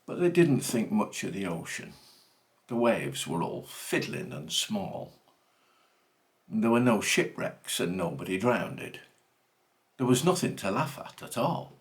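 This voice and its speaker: A man theatrically reciting comic verse with dramatic, deliberate phrasing. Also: on the low side, sing-song. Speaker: reader of a comic monologue poem.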